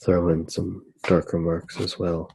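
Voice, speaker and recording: A man narrating his drawing for ASMR in a soft-spoken, unhurried way, musing aloud as he works. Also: low voice, little pitch movement, vocal fry, breathy edge. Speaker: ASMR artist.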